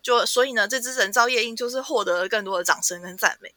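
A woman with a Taiwanese Mandarin accent hosting a podcast, casually retelling a story with animated, playful asides. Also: on the high side, fast talker, dry recording, studio quality. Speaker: podcast host. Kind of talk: casual storytelling and book chat.

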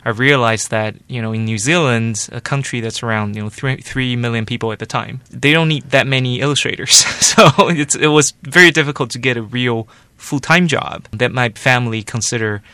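A man from Taiwan in his forties, an animation studio director, giving steady, explanatory answers in an interview. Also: mid-range voice, normal speed, hardly any pauses, smooth, dry recording. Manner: explanatory, steady, loose with "like" and "you know" fillers.

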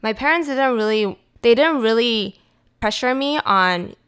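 A woman's voice: fairly high pitch, lively intonation, unhurried, a bit bright, smooth. A woman, answering interview questions in an easygoing way with hesitant fillers. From United States and Taiwan. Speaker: guest, food blogger and marketing strategist.

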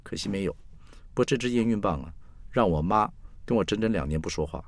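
A man's voice: fairly low pitch, expressive, fast, gravelly, no echo. A man voicing a brazen, flirty, rebellious woman of forty in dramatised storytelling, teasing and blunt. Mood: wistful.

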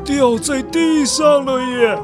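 A man's voice: very high-pitched, slow, over music, voice pushed up. A man voicing a smug young eldest prince with a sneering, taunting manner in a children's audio drama. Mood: mocking.

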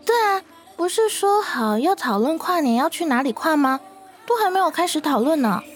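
Woman doing a sugary lovestruck girl, high sweet voice, music in back. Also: animated, moderate pace. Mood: cutesy. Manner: cutesy and doting. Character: lovey-dovey young woman in love. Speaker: voice actor in an audio drama.

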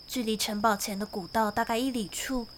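A woman playing a young lord's daughter in a radio drama, speaking with gentle, clearly articulated storytelling. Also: on the high side, steady tone, a little fast, slight background noise, close to an everyday voice. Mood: calm, storytelling. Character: young daughter of a castle lord, narrating her tale. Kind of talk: Mandarin radio drama acting.

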